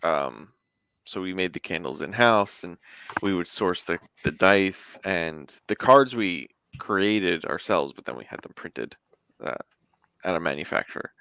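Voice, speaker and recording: A man's voice: low-pitched, lively intonation, a little slow, creaky, sharp tone, smooth. A man speaking as a guest in a casual interview, with a rambling, conversational delivery. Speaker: guest, indie tabletop game creator.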